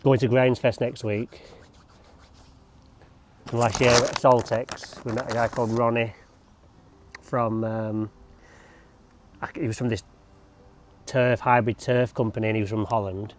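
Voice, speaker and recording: A man's voice: medium pitch, flat delivery, measured pace, pauses often. Rambling guy working a lawn. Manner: rambling, joking asides to camera. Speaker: lawn care vlogger. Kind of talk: casual chat while working. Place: outdoors on a lawn.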